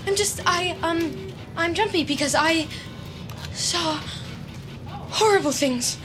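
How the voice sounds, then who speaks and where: A high-pitched, anxious young woman in an audio drama. She is jittery and obsessive, stammering nervously over her words, with music playing behind her. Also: slow. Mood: panicked, frightened. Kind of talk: audio fiction acting.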